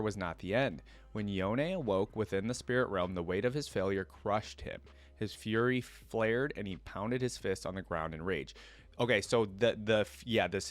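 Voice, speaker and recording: A man giving casual reaction commentary, reading aloud and thinking out loud in an informal, musing way. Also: lowish voice. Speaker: reaction video creator.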